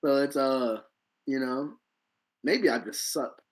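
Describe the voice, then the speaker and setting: A man co-hosting a casual podcast chat, talking in an animated, self-deprecating way and often repeating his words. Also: medium pitch, slow, poor audio. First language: English. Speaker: podcast co-host.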